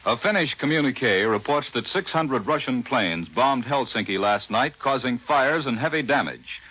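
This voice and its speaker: American newsman on muffled 1940s radio. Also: medium pitch. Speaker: radio newscaster, anchor. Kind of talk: 1940s scripted radio news reading.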